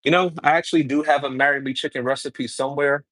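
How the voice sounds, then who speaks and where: A man's voice: mid-range voice, fairly even intonation, fast, fairly bright tone, no echo. A man from Brooklyn, chatting casually with viewers while cooking on a livestream. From New York City, Brooklyn. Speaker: home cook livestreaming.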